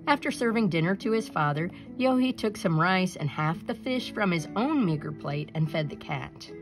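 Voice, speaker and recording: A woman reading a children's picture book aloud as a storyteller, in an expressive narrating style. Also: on the low side, normal speed, music in the background.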